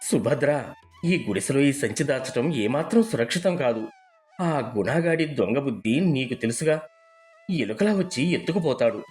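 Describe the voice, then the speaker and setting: A man's voice: mid-range voice, expressive, moderate pace, a little mellow, soft background music, lightly acted. A man playing an honest, humble poor gardener in a moral story, earnest and deferential. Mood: wary. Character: humble, honest poor male gardener, tearful, devoted husband. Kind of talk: dramatised moral storybook dialogue.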